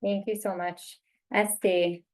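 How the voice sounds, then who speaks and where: A woman's voice: mid-range voice, level tone, takes it slowly. A woman welcoming viewers and introducing a virtual student panel as moderator, speaking over a video call.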